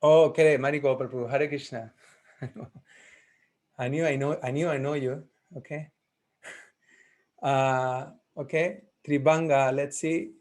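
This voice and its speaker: A man teaching a class on a video call, taking roll in a friendly, halting way, thinking aloud as he goes. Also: medium pitch, fairly even intonation, darkish tone.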